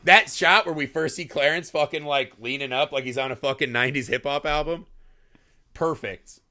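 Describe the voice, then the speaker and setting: A middle-aged man talks film on a podcast in a sharp, tense tone with heavy swearing. He slips into quick put-on voices when he retells scenes. The sound is close and dry, with no room around it. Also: on the high side, no room sound. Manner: profane, animated, acts out scenes in voices. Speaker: podcast co-host. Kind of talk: casual film discussion.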